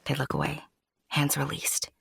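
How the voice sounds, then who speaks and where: A woman playing an intimate storyteller who confides in the listener in a breathy whisper, narrating an audio drama. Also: deep voice, level tone, normal speed, background noise. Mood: hushed, confiding.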